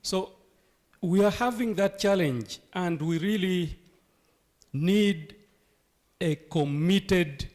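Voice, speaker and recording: Hoarse man giving an emphatic town hall speech. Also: fairly high pitch, a little mellow. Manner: emphatic, rhetorical questions, repeats key phrases. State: hoarse from flu and cough. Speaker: local leader addressing a town hall. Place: meeting hall.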